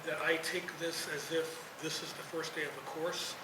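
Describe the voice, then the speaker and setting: A man's voice: on the high side, level tone, normal speed. A man taking part in a workshop, asking a spontaneous question from the audience, away from the microphone. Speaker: workshop participant. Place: room at a live event, far from mic. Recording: distant, echoey, very noisy room.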